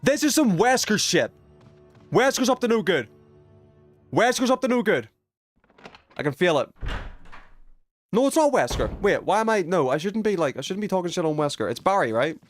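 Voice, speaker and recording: Animated male game streamer with music behind. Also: sits high, moderate pace, long pauses. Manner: slangy, swearing. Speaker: video game live streamer. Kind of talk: casual gameplay commentary.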